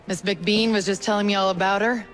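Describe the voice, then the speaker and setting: A woman playing a breezy, self-assured young Victorian transit entrepreneur in a video game, with a casual, brisk manner. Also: medium pitch, steady tone, close to an everyday voice. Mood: confident. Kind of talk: video game cutscene acting.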